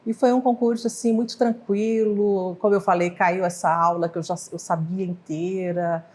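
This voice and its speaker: A woman's voice: medium pitch, fairly even intonation, moderate pace, darkish tone. A woman in her fifties from Brazil, telling her life story in an interview, rambling and correcting herself with short laughs. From Brazil (Goiás / northwest Minas Gerais). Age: 50s. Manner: rambling, hesitant with self-corrections and restarts; laughs lightly at her own slips. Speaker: professor of pharmacy, interviewee. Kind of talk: oral history interview.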